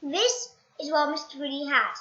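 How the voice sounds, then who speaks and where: A child reading a picture book aloud in a halting way, stumbling and correcting words. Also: high voice, animated, pushed and bright. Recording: roomy echo, dull lo-fi sound.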